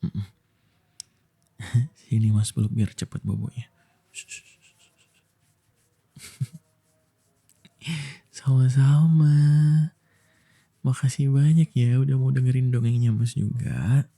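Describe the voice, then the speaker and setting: Young male ASMR storyteller. Unhurried, very dark soft tone, soothing bedtime delivery. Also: medium pitch. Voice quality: soft, breathy, husky. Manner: slow, gentle, soothing delivery with long pauses. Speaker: ASMR voice actor telling a bedtime story as a boyfriend.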